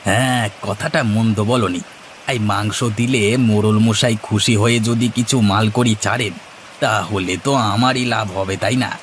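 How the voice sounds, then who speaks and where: A man's voice: fairly low pitch, fairly even intonation, normal speed, slight background noise, natural voice. Wheedling, lazy village schemer played by a man. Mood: scheming. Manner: wheedling, hemming, theatrical. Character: lazy, greedy, scheming village husband, fawning flatterer. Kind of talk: Bengali cartoon dubbing.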